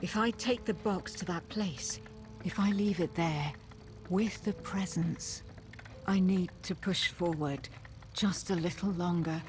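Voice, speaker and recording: An actress playing a frightened but determined woman in a horror game, hushed and halting as she questions herself. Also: on the low side, soft background music, natural voice. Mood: grim, determined. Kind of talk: dramatic video game acting with introspective monologue.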